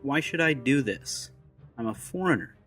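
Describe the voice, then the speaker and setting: A man performs a wry secret agent abroad in a steampunk audio drama. He is guarded and challenging, with theatrical, sardonic phrasing at a medium male pitch. Soft music sits behind him. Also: animated, normal speed, close to an everyday voice. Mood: wary, challenging.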